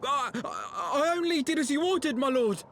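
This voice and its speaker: A man's voice: very high voice, expressive, normal speed, fairly bright tone, slight background noise, full character voice. A man playing a cowed, obedient underling in a fantasy video game, servile and stammering. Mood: distraught. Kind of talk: dramatic video game voice acting.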